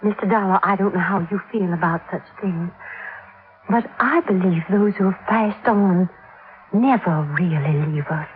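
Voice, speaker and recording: An American actress playing a middle-aged, grieving, gentle mother who believes devoutly in spirits, genteel and earnest, in a 1950s radio drama. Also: fairly low pitch, a little slow, natural voice. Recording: tinny, noisy 1950s radio recording.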